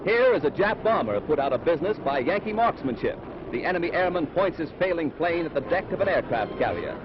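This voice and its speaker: A man's voice: fairly high pitch, animated, fairly quick, muffled recording, background noise. An American man narrating a scripted 1940s newsreel in a dramatic, emphatic announcer's delivery, on an old film soundtrack. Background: loud music, battle sound effects.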